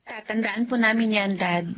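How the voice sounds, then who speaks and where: An actress playing a devoted, somewhat hesitant young doctor daughter in a Filipino melodrama, with a Filipino accent. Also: female voice, medium pitch, moderate pace, a bit bright, natural voice. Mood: grateful. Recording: dull lo-fi audio with faint noise.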